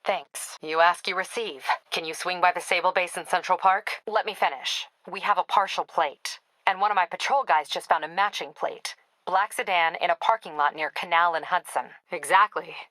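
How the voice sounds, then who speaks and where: An actress playing a female police captain in a video game, brisk and weary, with a clipped, businesslike, dryly wry way of talking. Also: lowish voice, pushed and bright, no room sound. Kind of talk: video game voice acting, radio dispatch briefings.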